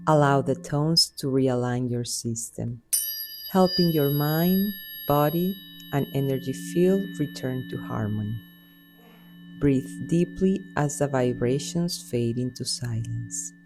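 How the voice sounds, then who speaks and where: A woman leading a guided meditation in a soothing, unhurried manner with measured phrases. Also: very deep voice, over music. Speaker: guided meditation host and Reiki practitioner.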